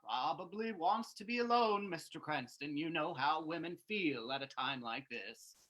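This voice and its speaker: Woman acting male manager in radio mystery, bright voice, animated intonation, nosy, brisk. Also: low-pitched. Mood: inquisitive. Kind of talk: old-time radio drama reading.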